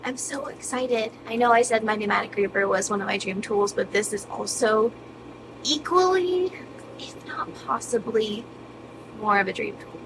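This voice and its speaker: A woman's voice: on the high side, normal speed. Chatty woman filmed from across her workshop. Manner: chatty, talks to herself while working, occasional whispers. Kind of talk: casual workshop vlog. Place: home workshop. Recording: distant camera mic with faint room noise.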